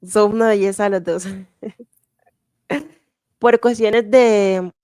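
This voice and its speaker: A woman from Venezuela telling her childhood story in an interview, slow and even, with soft laughs and fillers. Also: medium pitch, smooth, no echo, clean studio sound. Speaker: interview guest sharing testimony. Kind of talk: candid personal testimony.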